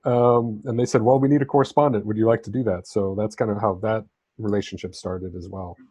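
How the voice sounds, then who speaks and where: A man's voice: fairly low pitch, steady tone, fairly quick, mellow, slightly rough, no room sound. A man who grew up in southern Spain talks conversationally as an interview guest, telling his own story in an easygoing, explanatory way. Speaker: interview guest, freelance writer and travel correspondent.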